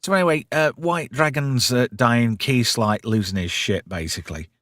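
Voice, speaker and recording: A man's voice: medium pitch, normal speed, fairly bright tone, no echo, clean studio sound. A British man hosting a pop culture show, recapping casually in sweary, irreverent slang.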